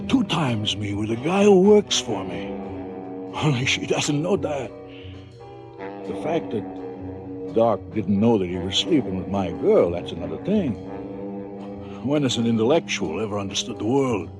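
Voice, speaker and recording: Cynical boastful mob boss monologue, swoopy mid voice, music under it, 70s radio play. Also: male voice, mid-range voice, very animated, a little slow, pauses often. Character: callous, scheming male crime boss. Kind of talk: 1970s stylized radio drama monologue.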